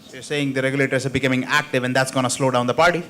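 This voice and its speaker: A man moderates a panel, restating the panelists' points back as quick questions. He talks fast in a flat, higher-pitched voice. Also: slight background noise. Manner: summarizing, probing questions. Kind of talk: panel discussion.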